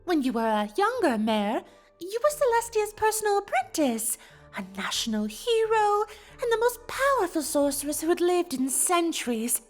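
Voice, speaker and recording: Refined lady pony, high swooping voice, theatrical drawl, teasing, storybook drama. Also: sing-song, soft background music. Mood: matter-of-fact, flattering. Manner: affected, theatrical, drawn-out refined delivery. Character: elegant, teasing female pony, refined romance expert. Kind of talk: dramatized story reading, character voice.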